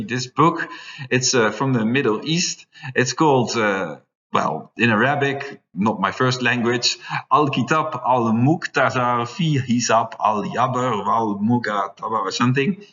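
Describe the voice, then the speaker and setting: A man's voice: medium pitch, bright, forceful tone. A man in his forties, an English speaker, giving a recorded math lecture at a whiteboard, explaining step by step with frequent fillers. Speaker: university lecturer. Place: classroom with whiteboard, indoors. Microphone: far camera mic. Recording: dull, roomy classroom sound.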